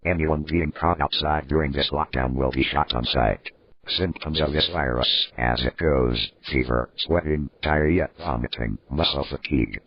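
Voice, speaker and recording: Male alert announcer, extremely low voice, formal synthetic read, slightly muffled and dry recording. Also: no room sound. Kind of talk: scripted emergency broadcast narration. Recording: dull, slightly lo-fi dry audio.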